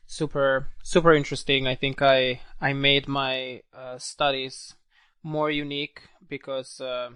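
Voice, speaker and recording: A young man from Serbia speaks English, stopping often to search for words as he co-hosts a podcast. His voice is gravelly yet smooth, and the sound is dry and close. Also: mid-range voice, bright, forceful tone, dry recording. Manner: hesitant, many uh fillers. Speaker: podcast co-host. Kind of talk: casual podcast chat.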